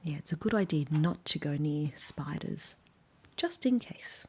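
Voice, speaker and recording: Animated Australian woman reading to children. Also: low-pitched, unhurried, slightly rough. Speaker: children's story reader. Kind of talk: read-aloud storytelling with asides to young children.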